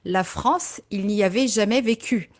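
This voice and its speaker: A woman's voice: mid-range voice, measured pace. A woman interviewing a guest, asking questions in an encouraging, affirming way.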